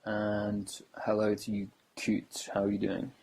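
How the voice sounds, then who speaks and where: Low, even-voiced male podcast host. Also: unhurried, creaky, a little hiss or hum, poor audio. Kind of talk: podcast interview intro.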